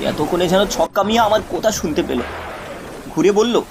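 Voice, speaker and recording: A man's voice: sits high, normal speed, very noisy. A man reading a scripted ghost story as a dramatic narrator.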